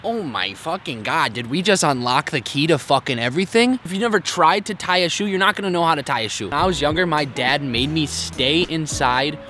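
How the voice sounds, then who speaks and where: A man's voice: a little high, expressive, a little fast, few pauses, bright, forceful tone, a little hiss or hum. A young man vlogging to camera in a city park, talking bluntly and swearing a lot, mocking himself as he goes. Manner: crude, blunt, self-mocking, heavy swearing. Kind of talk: casual vlog talk to camera.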